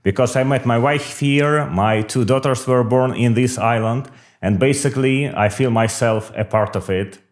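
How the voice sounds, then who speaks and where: A middle-aged man speaks English in a measured, explanatory way, pausing on frequent fillers as he answers interview questions. His voice sits at an ordinary middle pitch for a man. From Russia. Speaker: political candidate. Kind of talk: podcast interview.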